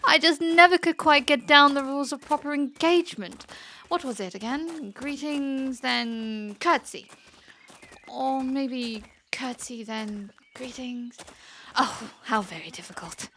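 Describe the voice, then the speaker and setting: A high-voiced, mocking young princess. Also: female voice, measured pace, bright, forceful tone, slight background noise, heavily acted. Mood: mocking, teasing. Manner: mocking, theatrical, sarcastic. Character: taunting, mischievous, spoiled young princess. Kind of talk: fantasy audio drama acting.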